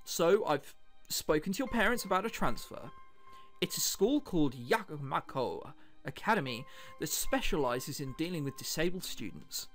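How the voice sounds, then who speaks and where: A man's voice: a little high, very animated, moderate pace, a bit bright, faint music behind, pitched below the performer's own voice. A man reading the lines of earnest, reassuring adult men in a visual novel. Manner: reassuring, explanatory. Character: earnest, placating adults: a father and a doctor.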